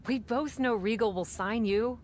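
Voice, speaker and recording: An actress playing a determined, loyal wrestler in a video game cutscene, earnest and conversational. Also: female voice, on the high side, moderate pace, a little mellow, a little hiss or hum, natural voice. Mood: determined, sincere. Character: determined, sincere woman wrestler, loyal friend.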